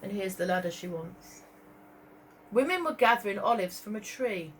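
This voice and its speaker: A woman reading a story aloud to young children as a teacher, expressively, pausing to point out pictures and ask questions. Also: medium pitch, animated, slight background noise. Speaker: primary school teacher.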